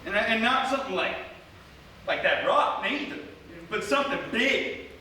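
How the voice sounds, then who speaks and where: An eager, slow-witted American country deputy in a comic radio play. His voice is very high and bright, full of folksy, stammering outbursts. It comes from a distant mic in a very echoey theatre. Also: expressive, heavily acted. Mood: puzzled. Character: excitable, dim-witted rural deputy, eager and apologetic. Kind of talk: comedic live radio theatre acting. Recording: echoey live theatre, far mic, faint noise.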